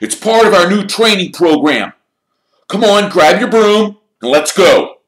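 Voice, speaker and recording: A man's voice: on the high side, sing-song, unhurried, pushed and bright, pitched above the performer's own voice, full character voice. A man voicing a burly, fanatically keen teenage team captain who talks in hearty, bossy bursts. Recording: roomy echo.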